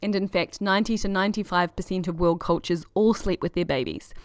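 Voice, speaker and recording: A woman's voice: fairly low pitch, brisk, no room sound, studio quality. A woman hosting a podcast, giving a chatty, enthusiastic scripted introduction. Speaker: podcast host.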